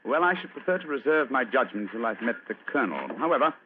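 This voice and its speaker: An actor playing a shrewd, composed gentleman detective in a radio drama, with a British accent and a precise, dryly witty way of talking. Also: medium pitch, very animated, fast, lightly acted. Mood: wry. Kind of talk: old-time radio drama acting. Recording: noisy, phone-like old radio recording.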